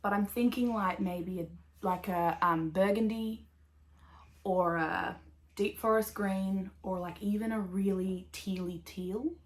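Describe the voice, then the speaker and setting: A woman's voice: medium pitch, steady tone, a little slow. A woman in her thirties with an Australian accent, hosting a casual knitting podcast, talking upbeat and rambling with lots of fillers and little laughs. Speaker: podcast host.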